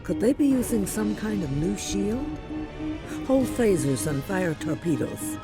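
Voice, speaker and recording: A woman's voice: mid-range voice, sing-song, unhurried, over loud music. A woman playing a decisive, composed starship captain giving orders in an audio drama. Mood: commanding. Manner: commanding, crisp orders. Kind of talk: science fiction audio drama acting.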